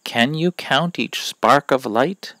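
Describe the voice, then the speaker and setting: A man reading rhyming verses aloud from a children's picture book in a deliberate, expressive way, pausing between phrases. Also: mid-range voice, dry recording. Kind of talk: children's story read-aloud of rhymes.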